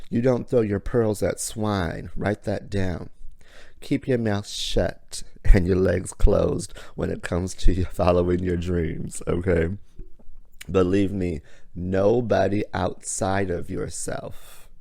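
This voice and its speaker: A hoarse, raspy man hosts a motivational talk with sassy, theatrical flair, calling the listener honey and darling. His voice sits low and gravelly. The sound is close and dry, with no room around it. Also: steady tone, slow, warm tone, no room sound. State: hoarse from illness. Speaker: podcast host. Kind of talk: motivational monologue.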